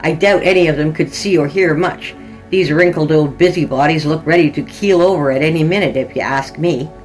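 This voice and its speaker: A woman's voice: low-pitched, fairly quick, darkish tone, rough recording. Woman narrating horror fiction over faint music. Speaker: podcast narrator.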